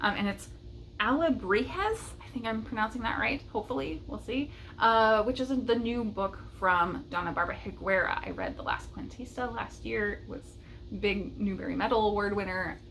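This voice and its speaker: A woman's voice: mid-range voice, moderate pace, a bit bright, a little hiss or hum, poor audio. A woman chatting casually and enthusiastically as a book vlogger, with a light chuckle in her talk.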